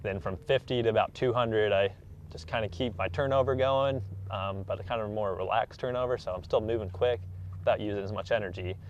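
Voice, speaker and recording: A man's voice: fairly low pitch, fairly quick, a little hiss or hum. A man in his twenties, an athlete giving casual, matter-of-fact explanations in an interview. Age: 20s. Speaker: elite track athlete being interviewed. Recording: dull, muffled lo-fi recording.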